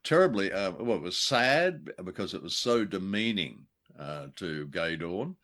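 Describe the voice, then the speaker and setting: Man chatting in a low, wry voice. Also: expressive, a little slow, fairly bright tone. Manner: wry, jokey, wordy asides. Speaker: podcast co-host. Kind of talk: casual review chat about an old radio play.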